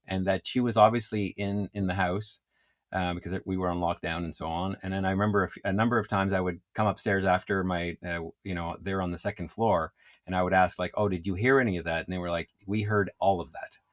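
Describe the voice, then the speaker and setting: A man from Quebec, a comedian, chatting casually as an interview guest, animated and quick to laugh. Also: deep voice. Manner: animated, laughs often, self-described loud voice.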